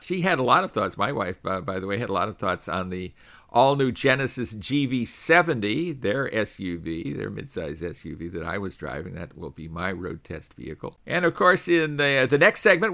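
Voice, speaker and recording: A man's voice: mid-range voice, bright, forceful tone. A middle-aged man hosting a radio talk show, chatting in a conversational way with frequent fillers.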